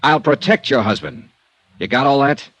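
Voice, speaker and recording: An actor playing a tough, wry insurance investigator in a 1950s radio drama, clipped and probing. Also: male voice, mid-range voice, moderate pace, fairly bright tone, no echo, low-quality recording. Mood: insistent, earnest. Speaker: lead actor and narrator.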